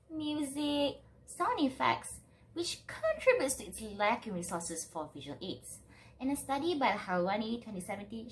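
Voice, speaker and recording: High, very animated young woman presenting. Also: slight background noise, low-quality recording. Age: young adult. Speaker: student presenter. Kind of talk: scripted academic presentation.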